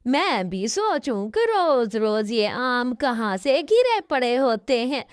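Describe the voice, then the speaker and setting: A stern, angry male parrot guarding an orchard in a children's cartoon, played by a woman. The delivery is scolding and threatening, with a high pitch and lots of swing, in a dry recording. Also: sing-song, slow, clean tone, no echo.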